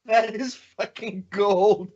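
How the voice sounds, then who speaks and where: A man's voice: high-pitched, steady tone, slow, darkish tone. A man in casual, joking conversation as a show host, heard on a slightly dull, lo-fi recording. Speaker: host of an audio drama review.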